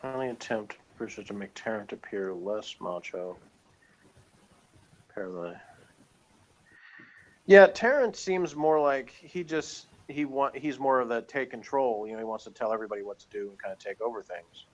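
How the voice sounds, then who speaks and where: A man's voice: mid-range voice, moderate pace, rough recording. A man in a casual, unscripted review chat, speaking in a hesitant, rambling way as he thinks aloud.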